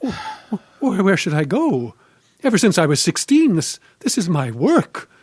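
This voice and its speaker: A man acting a meek, desperate aging newspaper columnist in a radio drama, stammering and beseeching in a husky voice. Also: high voice, wildly swooping pitch, a little slow, darkish tone, no echo, studio quality, full character voice. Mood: stunned, pleading. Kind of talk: live old-time radio drama acting.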